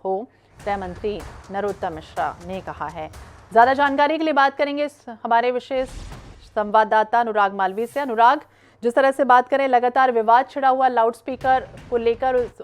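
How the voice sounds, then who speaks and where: A woman's voice: fairly high pitch, clear, resonant tone. A woman anchoring breaking television news, repeating headlines with emphasis.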